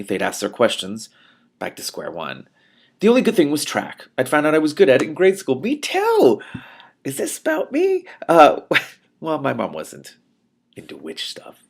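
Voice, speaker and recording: A man reading a novel aloud expressively, breaking off now and then to add spontaneous comments of his own. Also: fairly low pitch. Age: adult. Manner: animated, reacts aloud to the text and breaks off to comment. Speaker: reader and commentator of a book read-along show.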